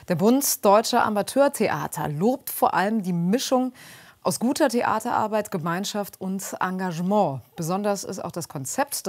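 A woman presenting regional news in a smooth, conversational scripted read. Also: fairly low pitch.

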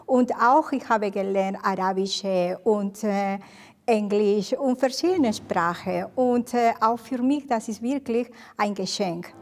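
A woman speaks slowly and haltingly, as a non-native speaker. There is a long reverb around her voice. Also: medium pitch, darkish tone. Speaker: choir member. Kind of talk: interview.

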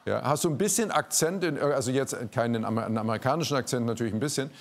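A man's voice: mid-range voice, rapid-fire. A man hosting a quiz show in a TV studio, presenting live to an audience and guests. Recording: studio with music and audience behind.

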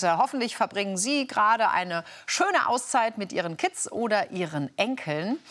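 A woman's voice: medium pitch, fairly bright tone. A woman presenting regional TV news with upbeat, chatty handovers to colleagues. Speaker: news anchor.

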